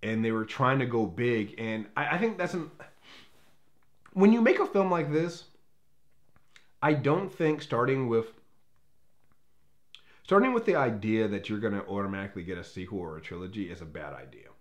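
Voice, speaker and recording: A man's voice: on the low side, lively intonation, moderate pace, long pauses. An American man reviewing a film off the cuff in an emphatic, conversational way, often circling back to rephrase his points. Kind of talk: unscripted opinionated movie review.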